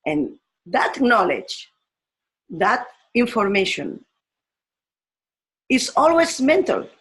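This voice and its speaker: A woman's voice: medium pitch, low-quality recording. An older woman from Spain with a Spanish accent, a teacher explaining her ideas on education with fillers and restarts. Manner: slow and deliberate, with fillers and restarts.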